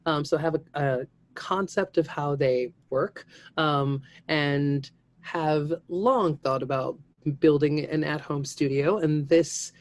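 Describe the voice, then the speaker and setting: A woman's voice: sits low, takes it slowly. A woman who works as a stage actor, speaking casually and explaining things in a conversational way. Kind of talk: casual spoken interview.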